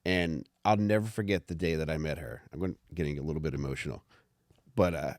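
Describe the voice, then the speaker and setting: A man's voice: very deep voice, brisk, darkish tone, no room sound, clean studio sound. A man talking candidly and informally on a podcast, rambling a little and reading out his own written commentary. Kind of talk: casual podcast chat with read-aloud commentary.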